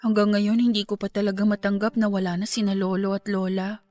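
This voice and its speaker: A woman's voice: mid-range voice, little pitch movement, fairly quick, a little mellow, close to an everyday voice. An actress playing a young woman grieving her grandparents in a radio drama, earnest and polite. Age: young adult. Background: faint background music.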